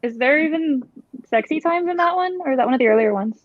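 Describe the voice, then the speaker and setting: A woman's voice: high voice, expressive, quick pace. A woman co-hosting a livestream, joking animatedly with friends over slightly dull call audio. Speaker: livestream co-host. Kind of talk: casual chat among friends. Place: remote video call livestream.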